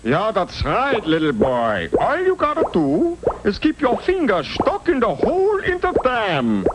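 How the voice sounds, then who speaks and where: Wildly swooping cartoon dockside boss. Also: male voice, sits high, huge pitch swings, unhurried, full character voice. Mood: instructing. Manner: casual, wildly swooping delivery. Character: drawling adult boss of a waterfront dam job. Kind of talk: cartoon character voice. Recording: noisy old TV cartoon audio.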